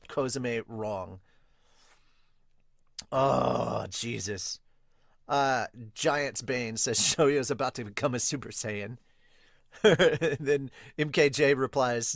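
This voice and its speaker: A man with an average-pitched voice hosts a casual reaction podcast. He reads comments aloud and reacts in an informal, amused, exclamatory way. The sound is dry, with little room to it. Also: fairly bright tone, no echo. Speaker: podcast host reviewing manga.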